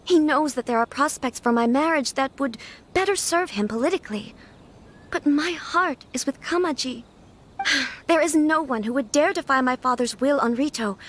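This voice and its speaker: A woman's voice: high-pitched, a bit bright. A woman playing a refined, resolute young noblewoman in a video game, speaking formally and with composure. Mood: earnest, pleading. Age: young adult.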